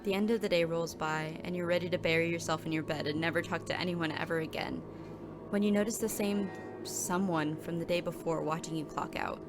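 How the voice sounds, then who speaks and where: A woman's voice: on the low side, fairly even intonation, a little fast, natural voice. A woman playing a weary, downtrodden coffee shop worker in a scripted audio drama. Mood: weary, brooding. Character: weary, downtrodden barista narrating their own workday in second person. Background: music.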